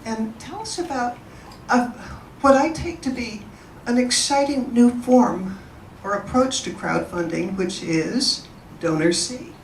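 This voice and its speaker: A woman's voice: fairly high pitch, measured pace. Chatty woman moderator in a roomy hall. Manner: chatty, enthusiastic, engages the audience. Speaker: event moderator. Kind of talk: informal presentation to an audience. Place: event room. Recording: roomy, distant mic, background noise.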